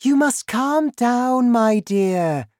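A woman voicing a firm middle-aged mother who gently scolds in a children's storybook. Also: on the high side, lively intonation, very slow, no room sound, clean studio sound, voice pushed up. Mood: gently scolding. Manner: admonishing.

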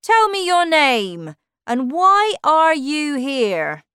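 A woman voicing a pompous, touchy, boastful male egg in a storybook, speaking with slow, indignant emphasis. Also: medium pitch, lively intonation, fairly bright tone, smooth, no echo, studio quality.

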